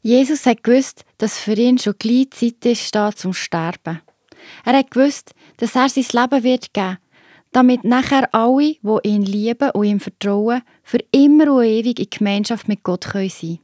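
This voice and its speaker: Warm-toned Swiss German woman storyteller. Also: on the high side, normal speed, no echo, clean studio sound. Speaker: narrator of a children's Bible audio play. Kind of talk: scripted storytelling for children.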